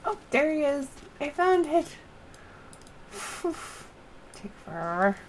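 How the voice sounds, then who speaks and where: Slow-talking woman murmuring as she games. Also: high-pitched, big pitch swings, a little hiss or hum. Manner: muttering to herself in short phrases. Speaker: game streamer. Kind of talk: casual live gameplay commentary.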